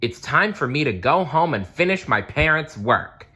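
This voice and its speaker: Man playing young storybook fisherman, higher voice, earnest, eager, emphatic. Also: sing-song, moderate pace, sharp tone, breathy edge, exaggerated voice. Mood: determined.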